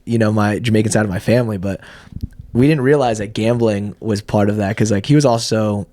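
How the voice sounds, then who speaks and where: A man's voice: on the low side, steady tone, fairly quick, mellow. A young man hosting a podcast, telling stories in a loose, profane way and laughing often. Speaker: podcast host. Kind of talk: casual podcast chat.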